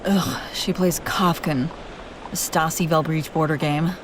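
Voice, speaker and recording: A woman's voice: low-pitched, steady tone, measured pace, background noise. A woman playing a haughty, wry young princess on the run in an acted fantasy audio roleplay, bantering with exasperated sarcasm. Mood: conspiratorial, explaining. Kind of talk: acted audio roleplay, one-sided dialogue.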